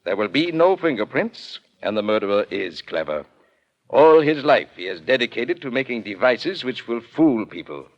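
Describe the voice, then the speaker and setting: A man's voice: mid-range voice, very animated, moderate pace. An actor playing a proud, sly craftsman of stage illusions, with formal, theatrical phrasing in a 1940s radio drama. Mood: sly, taunting. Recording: dull, lo-fi 1940s radio recording.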